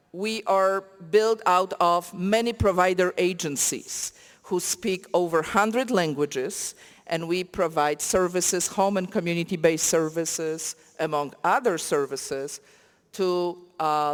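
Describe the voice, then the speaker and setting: A woman from Poland with a Polish accent, non-native English, giving an emotional acceptance speech with halting, searching phrasing at a conference. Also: on the low side. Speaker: award recipient. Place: large conference hall. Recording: hall reverb.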